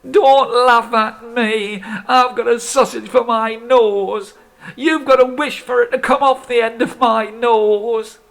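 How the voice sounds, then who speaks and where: Man doing a high, squeaky, flustered old fool, singsong, storybook. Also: steady tone, slow, creaky, a bit bright, exaggerated voice. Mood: pleading. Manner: high-pitched, bouncing, singsong. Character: excitable, foolish old man, a folktale husband. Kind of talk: storybook character voice.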